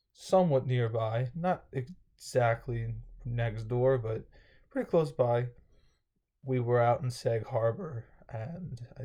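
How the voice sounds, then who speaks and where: A man's voice: medium pitch, warm tone. A young man with a slightly dry throat, casually recapping his day in a rambling vlog with many fillers and restarts. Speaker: vlogger.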